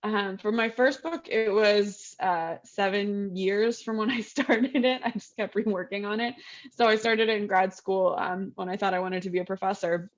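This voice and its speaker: A woman's voice: medium pitch, steady tone, moderate pace, highs cut off. A woman author reflecting in an easygoing, self-mocking way over a video call. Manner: reflective, easygoing, laughs at herself. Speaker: author guest. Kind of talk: casual video chat.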